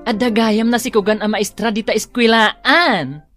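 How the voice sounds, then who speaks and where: A woman acting an unhurried, gossiping village neighbor with an Ilocano accent in a comedy radio drama. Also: fairly high pitch, a bit bright, faint music behind, lightly acted. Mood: gossipy.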